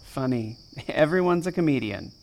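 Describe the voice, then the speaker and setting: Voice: mid-range voice, animated, normal speed, vocal fry, a little mellow. A performer in an audio drama playing a worried, self-blaming friend with dry humour. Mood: weary, worried. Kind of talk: naturalistic audio drama acting.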